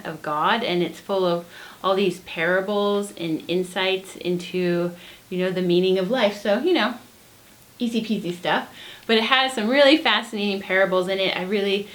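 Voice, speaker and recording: A woman's voice: on the low side, a bit bright. A woman co-hosting a book vlog, explaining her recommendations in a casual, earnest and appreciative way.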